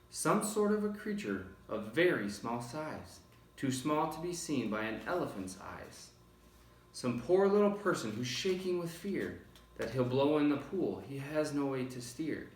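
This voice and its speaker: A man voicing a gentle, protective storybook elephant who speaks sincerely and pleadingly. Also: medium pitch, lightly acted. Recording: roomy, distant microphone.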